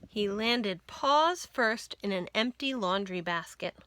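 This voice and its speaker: A woman reading a children's story aloud as expressive narration, with deliberate, dramatic phrasing. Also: mid-range voice, no echo.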